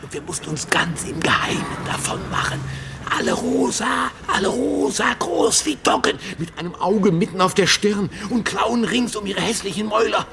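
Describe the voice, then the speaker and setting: An actor in a German radio drama playing a delirious, paranoid seaman who veers between hushed secrecy and frantic cries. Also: high voice, expressive, normal speed, creaky, over loud music. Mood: feverish, obsessed. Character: delirious, paranoid seaman raving about monstrous pink toads.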